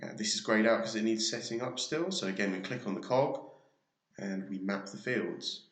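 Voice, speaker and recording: A man presenting a software tutorial, narrating a screen demo step by step in an informal, explanatory way. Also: lowish voice, lively intonation, normal speed. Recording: roomy, echoey sound.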